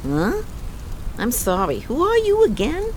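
A woman's voice: fairly high pitch, wildly swooping pitch, measured pace, slight background noise. A woman playing a wary, brassy young streetwalker in a 1920s New York radio drama, with brusque street slang. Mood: irritated. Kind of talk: radio drama acting, 1920s period piece.

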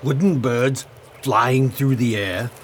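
A brave, courteous old magician played by a man in a radio play. He speaks formally at a medium pitch, like a kindly uncle, over faint background noise. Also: lightly acted. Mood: observant. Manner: formal, avuncular, measured.

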